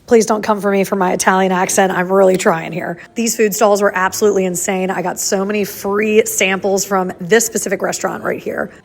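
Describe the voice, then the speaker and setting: Female travel vlogger, American accent, medium pitch, enthusiastic and casual voice-over narration. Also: steady tone, a little fast, vocal fry, few pauses. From United States. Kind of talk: upbeat scripted vlog voice-over narration.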